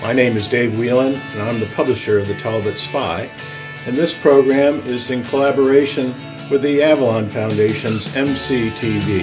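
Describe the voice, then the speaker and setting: An older man hosting, reading a measured scripted introduction in a studio. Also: medium pitch, steady tone. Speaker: host. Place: studio in front of a backdrop. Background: soft guitar music.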